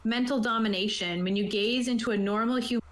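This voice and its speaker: A woman's voice: a little high, brisk, echoey room. A woman prepping a tabletop game online, reading rules aloud and explaining them in a chatty, casual way. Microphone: own microphone on an online voice call.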